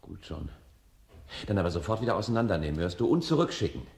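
A man's voice: low-pitched, sing-song, fast talker, darkish tone. An actor playing a mild, conscientious hobbyist father in a 1970s German radio play. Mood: astonished, firm. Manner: mild, placating. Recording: vintage radio recording, roomy, faint noise.

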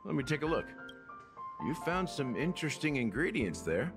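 An actor playing a laid-back middle-aged bartender in a game, speaking in a measured, casual, faintly theatrical way. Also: male voice, a little high, sing-song. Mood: easygoing. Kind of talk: English game voice acting. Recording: clean game dialogue over background music.

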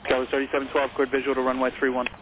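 A man's voice: medium pitch, highs cut off. A man working as an air traffic approach controller, giving instructions to pilots in clipped radio phraseology over a two-way radio. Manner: flat, rapid, businesslike delivery. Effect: two-way radio transmission. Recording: noisy airband scanner radio.

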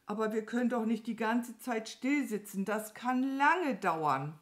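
A woman voicing a carefree, good-natured, childlike cow in a children's story, with a naive and unhurried manner. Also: fairly high pitch, animated, pitched above the performer's own voice. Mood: gently pleading. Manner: naive, unhurried, moos before speaking.

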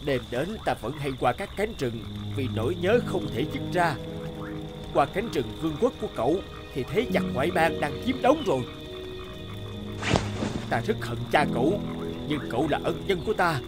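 A huge bearded man of the forest speaks with solemn, earnest drama. His voice sits a little high and moves widely in pitch. Music plays underneath. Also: very animated, normal speed. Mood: grave, urging. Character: huge bearded forest wildman, grave and loyal. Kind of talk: cartoon voice acting.

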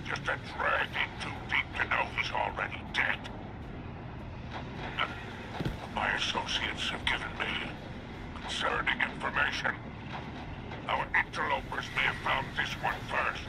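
An actor playing a greedy, calculating alien crime boss in a video game, with a gravelly, breathy growl and a smug, menacing manner. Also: male voice, medium pitch, moderate pace, very noisy, lightly acted. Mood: calculating, contemptuous.

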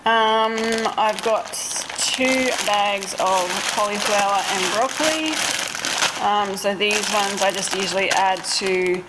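A woman's voice: mid-range voice, slow pace, heavy background noise. A woman in her thirties with an Australian accent, chatting informally and unscripted as a vlogger. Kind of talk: casual chat, narrating a grocery haul.

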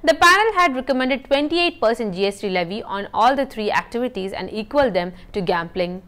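A young woman, reading a scripted news bulletin in a steady, measured way in a studio. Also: a little high. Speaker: news presenter. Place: indoor TV news studio set.

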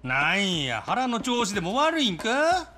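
Game role: unhinged Kansai gang boss, high and wildly swooping, scolding, impatient. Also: male voice, huge pitch swings, a little slow, pushed and bright, soft background music, heavily acted. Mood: irritated. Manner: gruff, mercurial, scolding. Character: brash, impatient, unhinged middle-aged male yakuza boss. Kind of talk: Japanese video game cutscene acting.

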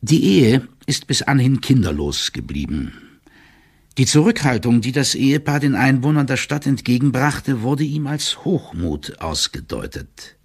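A man playing an older, loyal court clerk who delivers a confidential report in a formal, measured and officious manner, as in an audiobook drama. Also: mid-range voice, sing-song, breathy edge, exaggerated voice. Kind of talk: dramatised first-person reading of a formal written report.

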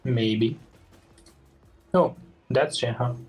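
A woman's voice: very deep voice, very slow, a little mellow, faint music behind. A woman giving casual, slangy, muttered reactions while streaming a video game.

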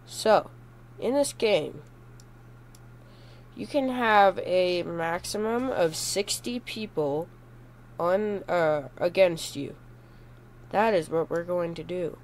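A young man, congested from a cold, drawls through casual game commentary at an unhurried pace. He stumbles, restarts and corrects himself with goofy asides, and a faint hum sits under his desk-mic recording. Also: high-pitched. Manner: rambling, hesitant, goofy self-correcting. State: stuffy from a cold. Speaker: gaming YouTuber.

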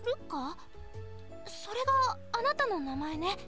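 A woman's voice: extremely high pitch, very animated, normal speed. A Japanese actress playing a sweet, polite teenage girl in an anime visual novel game. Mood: polite, earnest. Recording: game music behind the voice.

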